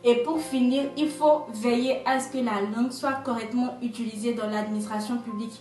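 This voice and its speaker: A woman's voice: a little high, steady tone, fairly quick, darkish tone. A woman from Benin, interviewed, explaining her points one by one in an emphatic, structured way and often checking in with "d'accord". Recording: echoey room, distant mic, faint music.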